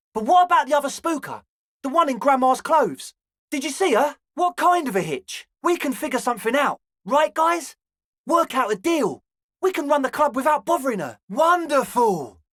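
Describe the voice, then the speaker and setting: Eager, high-voiced young raver dude. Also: male voice, very animated, moderate pace, fairly bright tone, no room sound, clean studio sound, heavily acted. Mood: hopeful, curious. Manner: slacker slang mixed with grandiose phrasing. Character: balding twenty-year-old raver dreamer, eager, earnest, slightly pompous. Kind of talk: video game character dialogue.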